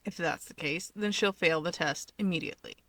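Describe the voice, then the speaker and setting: Woman as grumbling villain big brother for storybook fiction: dry, bossy, teasing, bright-edged tone, close dry sound. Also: fairly low pitch, brisk, no echo. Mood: smug, dry.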